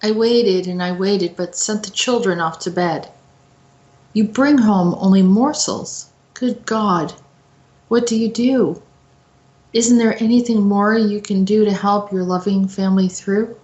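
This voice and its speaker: A woman's voice: medium pitch, a little slow, a little mellow, lightly acted. Poor miller's anxious wife for a verse tale female actor, reproachful, pleading, muffled and roomy sound. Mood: fearful reproach. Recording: echoey room, dull lo-fi sound.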